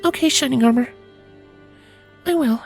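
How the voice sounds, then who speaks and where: An earnest young-sounding pony princess, acted out by a man, speaking far above a normal male register. The delivery is that of a storybook character, gentle and sincere, over faint music. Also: very high-pitched, normal speed, creaky, pitched above the performer's own voice. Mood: meek, apologetic. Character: female pony princess, meek, contrite, earnest matchmaker. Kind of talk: fan fiction audiobook character voice.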